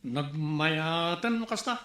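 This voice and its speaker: A man's voice: fairly high pitch, expressive, slow, a bit bright. An actor playing a nervous, deferential male village aide in a radio comedy drama. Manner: deferential, anxious, calls others "Chief". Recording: echoey room with music behind.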